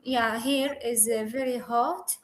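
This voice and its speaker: Slow, hesitant woman learning English. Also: on the high side, steady tone, slight background noise. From Syria. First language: Arabic. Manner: hesitant, many pauses and fillers. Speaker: English student. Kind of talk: online conversation lesson. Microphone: video call.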